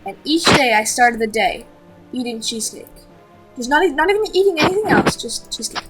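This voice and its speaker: A woman co-hosting a story-time show, telling casual stories in an excitable, repetitive way and acting out quoted lines. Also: high voice, brisk, over loud music, rough recording.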